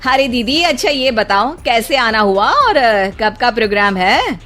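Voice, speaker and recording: A woman's voice: on the high side, big pitch swings, unhurried, sharp tone, soft background music, pitched above the performer's own voice, exaggerated voice. A woman voicing a middle-aged sister-in-law who is sarcastic, suspicious and grudging in a cartoon story. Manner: sarcastic, needling, exclamatory.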